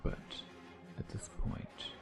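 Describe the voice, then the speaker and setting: A man's voice: slow pace, music in the background, lightly acted. A man playing a suave, predatory vampire in an intimate ASMR roleplay, taunting in a sardonic, flirtatious way with murmured asides. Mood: playful menace. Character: suave, teasing, predatory male vampire, playfully menacing.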